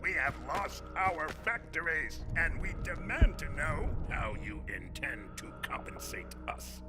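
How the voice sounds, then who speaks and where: An actor playing a haughty industrial baron villain in an animated game, formal and accusing. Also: male voice, a little high, a little slow. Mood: accusing, indignant. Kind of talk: animated game cutscene acting. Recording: loud cinematic music under dialogue.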